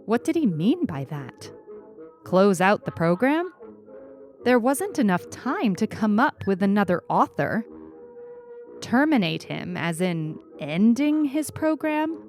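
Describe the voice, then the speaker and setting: A woman's voice: medium pitch, very animated, slow pace, darkish tone. A woman narrating a scripted short story as its reader. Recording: music under the reading.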